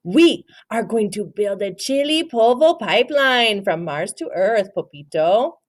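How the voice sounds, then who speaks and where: A woman voicing a gang of small, cheeky male Chihuahuas in a storybook, with slangy, emphatic, drawn-out phrases. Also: medium pitch, lively intonation. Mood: jaunty announcing.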